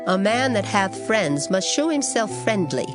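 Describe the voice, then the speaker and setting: A woman reading scripture aloud in a measured, solemn recitation. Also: medium pitch, very animated. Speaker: scripture reader. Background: background music.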